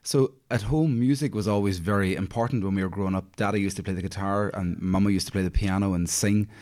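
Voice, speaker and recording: Chatty Northern Irish man, lowish voice. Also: quick pace, darkish tone. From County Derry, Northern Ireland. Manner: chatty, friendly, frequent you-knows. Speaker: interview guest, singer. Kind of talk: casual reminiscing interview chat.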